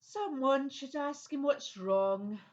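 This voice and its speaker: A woman's voice: high-pitched, slow, dull audio. A woman playing a big, hairy, childlike monster who is eager to serve, in a children's audio play. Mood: concerned.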